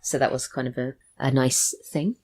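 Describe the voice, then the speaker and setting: A woman's voice: very deep voice, fairly even intonation, normal speed, a little mellow. An English woman chatting casually as a podcast guest. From England. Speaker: podcast guest, audio drama writer and voice actor. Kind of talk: casual chat.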